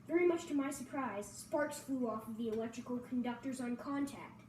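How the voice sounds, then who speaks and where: Female narrator for a first-person story read, high-pitched, recorded in an echoing room with faint noise. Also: moderate pace, mellow. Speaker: reader at a table read. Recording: roomy echo, distant mic, faint noise.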